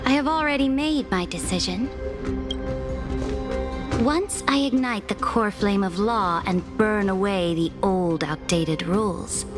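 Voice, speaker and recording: A woman playing a haughty, commanding young emperor in a video game, speaking in a calm, regal and formal manner. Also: medium pitch. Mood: resolute. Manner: regal, measured, formal, deliberate. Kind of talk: scripted video game voice acting. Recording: orchestral game music behind the voice.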